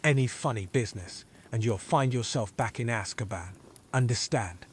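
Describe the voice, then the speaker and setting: A man's voice: medium pitch, normal speed, heavy background noise, close to an everyday voice. A man playing a hard-bitten, suspicious old wizard interrogator in an audio drama, scornful and commanding. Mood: menacing. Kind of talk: fan audio drama acting.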